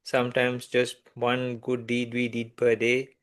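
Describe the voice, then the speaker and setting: A man whose first language is Sinhala, a meditation teacher answering gently and hesitantly, with many fillers. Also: medium pitch, monotone, a little slow. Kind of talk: conversational interview answers.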